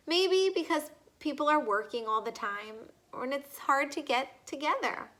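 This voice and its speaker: A woman reads a children's book aloud in a high, bright voice. She goes slowly and deliberately, speaking straight to young listeners and asking them questions as she reads. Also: animated. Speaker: read-aloud reader.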